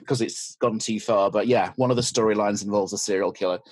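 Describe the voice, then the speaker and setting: A man's voice: on the low side, fairly even intonation, moderate pace, breathy edge, no room sound. A man with an English accent chatting casually as a podcast guest, hesitant and often restarting his thoughts. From England. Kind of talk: casual interview chat.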